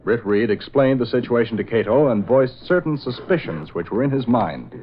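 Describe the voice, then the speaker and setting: A man's voice: mid-range voice, expressive, normal speed, slight background noise. A man narrating a scripted radio serial in 1940s announcer style. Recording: dull old radio recording with music.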